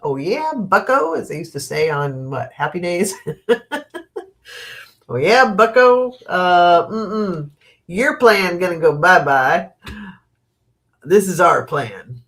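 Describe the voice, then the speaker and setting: A middle-aged American woman with a sniffly, stuffed-up voice, hosting a live tarot stream at home, rambling slowly with fillers and restarts and laughing at her own asides. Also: lowish voice, animated, a bit bright. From United States. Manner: slow, rambling, thinking aloud with many fillers and restarts. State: sniffly from allergies. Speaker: tarot reader and channel host. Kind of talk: live-stream tarot reading, casual chat.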